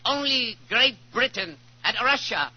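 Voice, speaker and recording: An actor playing a curt, domineering emissary, clipped and commanding in 1940s radio style. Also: male voice, very high-pitched, lively intonation, measured pace, heavily acted. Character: imperious Chinese emissary, curt and domineering overlord. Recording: dull old 1940s radio recording.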